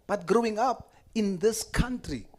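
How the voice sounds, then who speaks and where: An earnest South African man, Afrikaans his first language, addresses a committee with conviction. His voice sits a little high for a man, and he leans on repeated phrases to drive points home. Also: measured pace. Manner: earnest, impassioned, emphatic repetitions. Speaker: member speaking in a committee hearing. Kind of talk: formal spoken address.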